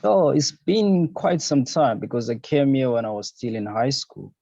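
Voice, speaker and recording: Laid-back man from Zambia, mellow voice. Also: medium pitch, normal speed, creaky, dry recording. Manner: easygoing, many fillers and "you know, man". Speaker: fashion designer, podcast and radio host. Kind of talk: relaxed interview answers. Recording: dull lo-fi sound.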